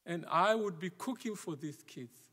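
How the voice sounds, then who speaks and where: A middle-aged male minister preaching a sermon from the pulpit, in a measured style that sometimes drops to a near whisper for emphasis. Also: high voice, animated, pushed and bright, breathy edge. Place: large wooden church sanctuary, at the pulpit. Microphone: pulpit microphone. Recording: long church reverb.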